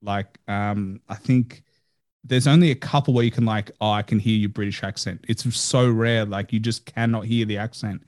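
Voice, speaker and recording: A man's voice: fairly low pitch, fairly even intonation, moderate pace, a little mellow. A man hosting a podcast interview, chatting in an earnest way with frequent "like" fillers. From Australia. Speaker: podcast host. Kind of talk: casual interview chat.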